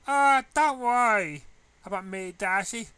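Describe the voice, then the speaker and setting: Guy playing a super bubbly teen girl, squeaky high, bright and playful. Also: unhurried, clean tone, no room sound. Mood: chirpy. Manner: chirpy, playful. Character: bubbly, energetic, friendly teenage schoolgirl.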